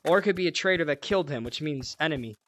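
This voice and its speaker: A man's voice: fairly high pitch, lively intonation, racing, very noisy. A man hosting a gaming video, chatting casually and joking with a teammate while he plays. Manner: jokey, chatty, talks to teammate while playing. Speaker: gaming channel host. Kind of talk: casual gameplay commentary. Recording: gunfire and clicks behind. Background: game gunfire.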